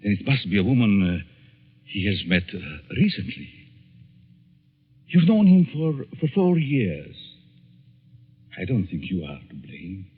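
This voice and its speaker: An actor in a 1940s-style radio drama playing a sinister, calculating professor, measured and insinuatingly persuasive. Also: male voice, lowish voice, very animated, breathy edge, full character voice. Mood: probing, soothing. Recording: muffled old radio recording, long reverb.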